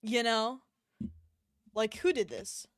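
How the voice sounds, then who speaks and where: Woman streamer with very animated, high-pitched voice. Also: slow, no room sound, studio quality. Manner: exclamatory, playful mock-dramatic reactions. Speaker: livestreamer. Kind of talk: casual livestream chat, reacting to an online shop.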